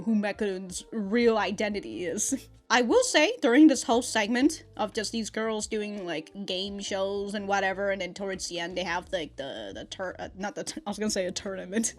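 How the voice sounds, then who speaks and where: A woman giving casual, rambling reaction commentary as a host, with a giggly, slangy way of talking and some swearing. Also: mid-range voice. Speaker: anime reaction host and reviewer.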